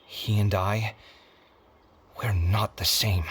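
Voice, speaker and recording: A man's voice: fairly low pitch, fairly even intonation, slow pace, breathy edge, heavily acted. Earnest, proud young male scholar. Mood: solemn, resolute. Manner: earnest, dramatic. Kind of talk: English video game voice acting. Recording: background music under the voice.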